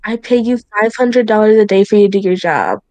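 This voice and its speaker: A woman's voice: mid-range voice, expressive, fairly quick, dark tone, no room sound, lightly acted. A woman playing a spoiled, demanding rich young woman in an improvised roleplay, haughty and imperious as she repeats her demands, with music behind.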